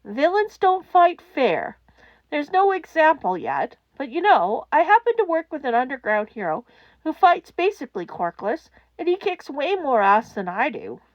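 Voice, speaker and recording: A warm, sincere radio man, acted by a woman in an audiobook. He sounds gentle and reassuring, with very animated intonation. The recording is close and dry, a touch dull. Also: high-pitched, moderate pace, dry recording, pitched above the performer's own voice. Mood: honest, encouraging. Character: warm, sincere adult male radio host consoling a caller. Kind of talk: audiobook character acting.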